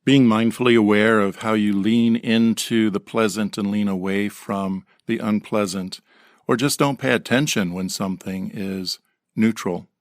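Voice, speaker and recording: A man leading a guided meditation in a gentle, unhurried manner with pauses, recorded close to the microphone. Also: fairly low pitch, steady tone, dry recording. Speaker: meditation teacher.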